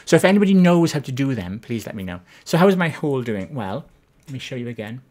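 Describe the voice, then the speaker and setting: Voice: medium pitch, sing-song, quick pace, darkish tone. Chatty Welsh streamer with a Swansea accent. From Wales. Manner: chatty, self-deprecating, reads chat aloud. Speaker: live streamer. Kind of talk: casual live-stream chat.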